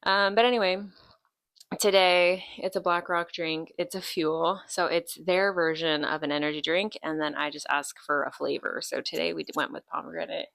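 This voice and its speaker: A woman in her late twenties hosts a relaxed podcast chat, her voice sitting a little low for a woman. She drifts from one tangent to the next, filling pauses with ums. The sound is close and dry. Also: gravelly, dry recording. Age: 20s. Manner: chatty, rambling, with many ums and tangents. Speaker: podcast host. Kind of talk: casual chat.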